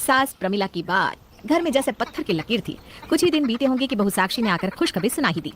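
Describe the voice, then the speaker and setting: A woman's voice: fairly high pitch, sing-song, a little fast, slight background noise. A woman narrating a scripted cartoon family story as its storyteller.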